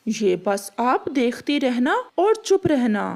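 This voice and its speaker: A woman voicing a kindly, patient, clever pigeon in a cartoon, gentle and reassuring. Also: high voice, sing-song, slow pace, background noise, heavily acted. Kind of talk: Hindi cartoon voice acting.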